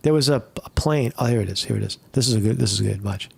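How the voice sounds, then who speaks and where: A man's voice: lowish voice, quick pace, creaky, a little mellow, husky. A man giving rambling, profane commentary while watching videos, full of fillers and restarts. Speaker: commentary streamer.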